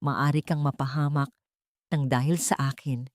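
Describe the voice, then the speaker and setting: A woman's voice: a bass voice, fairly even intonation, darkish tone, no echo, clean studio sound. Woman as a proud, restrained Filipino heroine. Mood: hushed concern. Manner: restrained, measured. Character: wronged young mother, proud, guarded heroine. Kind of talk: audiobook character dialogue.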